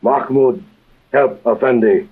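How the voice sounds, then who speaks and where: An actor playing a humble, loyal Middle Eastern servant with an Arabic accent, speaking halting broken English in an old-time radio drama. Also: male voice, medium pitch, slightly rough. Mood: earnest, solemn. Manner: halting broken English, short simple phrases. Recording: tinny band-limited vintage radio recording.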